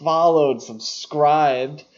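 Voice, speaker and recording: A man's voice: a little high, drawn-out words, highs cut off. A man co-hosting a podcast, talking through films in a casual, analytical, explanatory way. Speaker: podcast co-host. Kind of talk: casual film discussion.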